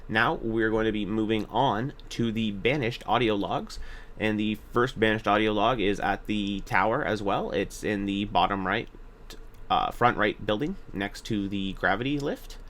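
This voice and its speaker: Low-pitched man giving a casual game guide. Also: measured pace, a bit bright. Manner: informal, hesitant, self-correcting. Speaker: gaming video guide narrator. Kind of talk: casual game walkthrough commentary.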